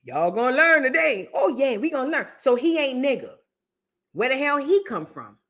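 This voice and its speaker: A woman hosts a casual reaction stream, talking loudly and with emphasis, repeating her punchlines and calling on viewers by name. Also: sits high, big pitch swings, moderate pace. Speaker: livestream host reacting to history content.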